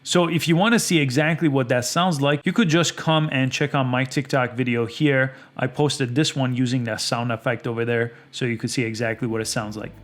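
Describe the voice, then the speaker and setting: A man's voice: medium pitch, steady tone, a little fast, hardly any pauses. Man giving a casual step-by-step tutorial. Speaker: tutorial host. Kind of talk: casual step-by-step how-to narration.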